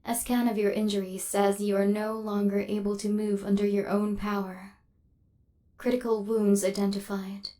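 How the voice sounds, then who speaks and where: A woman's voice: mid-range voice, level tone, moderate pace, warm tone, natural voice. A woman voicing a female robot assassin in an ASMR roleplay, cold and relentless, with clipped, formal, mechanical delivery. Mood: cold, menacing.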